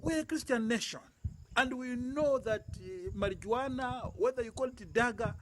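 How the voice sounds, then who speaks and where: A man with a Zambian accent speaks in a very high-pitched voice, making an emphatic statement for the news. He repeats phrases for weight. The background is clearly noisy. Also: normal speed. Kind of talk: spoken statement to news camera.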